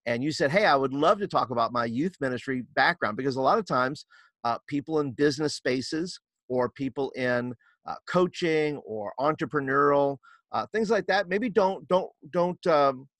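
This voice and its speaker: A man's voice: mid-range voice, lively intonation, normal speed, no echo. A man hosting an interview podcast, asking questions with many false starts and repeated words. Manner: stumbling restarts, warm questioning. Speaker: podcast host. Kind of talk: casual interview chat.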